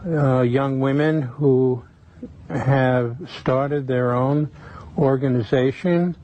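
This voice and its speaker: A man's voice: mid-range voice, steady tone, slow pace, dark tone. A man hosting a public-access interview show and taking live calls. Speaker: call-in show host. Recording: muffled, noisy old TV recording.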